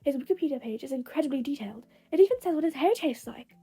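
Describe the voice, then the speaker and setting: Woman voicing an excitable, high-pitched hyperactive teenage boy. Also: fast, soft background music. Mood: eager, insistent. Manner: gushing, exclamatory.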